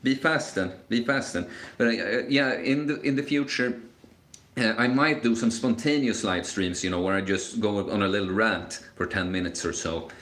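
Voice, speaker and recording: A man's voice: lowish voice, normal speed, fairly bright tone. A man in his twenties hosting a casual live Q&A stream, talking in a rambling, hesitant way with many fillers and restarts. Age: 20s. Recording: echoey room.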